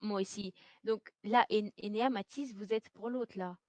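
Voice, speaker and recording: A woman's voice: medium pitch, fairly even intonation, moderate pace, darkish tone. A young woman in a casual group debate, talking insistently in everyday slang. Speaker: participant in a group cartoon tier list.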